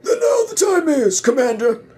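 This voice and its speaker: A man voicing an ancient, tiny, wise master in a slow, drawn-out parody sci-fi voice. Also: extremely high pitch, big pitch swings, a little mellow, pitched above the performer's own voice. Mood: solemn.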